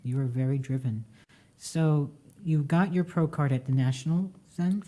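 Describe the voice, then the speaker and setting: A woman hosts an interview in a low, mellow voice. She keeps the talk going with many short affirmations. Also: normal speed. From United States. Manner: encouraging, frequent short affirmations. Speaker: interview host.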